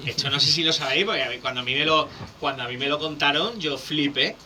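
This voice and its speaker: A man, a public speaking coach explaining things in a lively, teacherly way as a guest on a radio interview. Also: fairly high pitch, quick pace, slight background noise.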